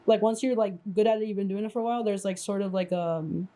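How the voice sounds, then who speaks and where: Mellow-voiced woman, chatty and profane. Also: medium pitch, fast. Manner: casual and profane, lots of fillers. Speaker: podcast host. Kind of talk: casual chat.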